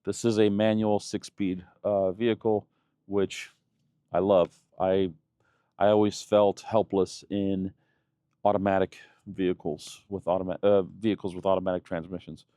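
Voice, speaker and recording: A man's voice: lowish voice, mellow, smooth, dry recording, studio quality. A man in his thirties, raised in Germany, talking casually in a vlog with many fillers and restarts. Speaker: channel host.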